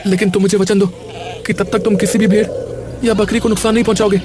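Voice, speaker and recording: A man playing a poor, humble, anxious and tender-hearted shepherd in an animated moral story, with a hesitant, pleading way of talking. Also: high-pitched, fast, noisy background, lightly acted. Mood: frightened, pleading.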